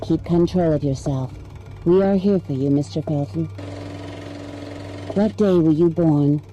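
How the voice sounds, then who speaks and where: An actress playing a calm female hypnotist in a dramatic video game, giving measured, clinical commands to a patient. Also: deep voice, muffled recording, over music.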